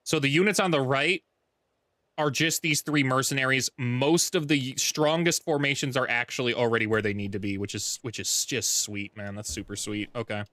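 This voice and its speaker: A man with a deep voice streaming a strategy game from home, chatting casually, thinking aloud and correcting himself as he plays. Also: steady tone, moderate pace, sharp tone, no echo. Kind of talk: casual gameplay livestream chat. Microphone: desk mic with noise gate.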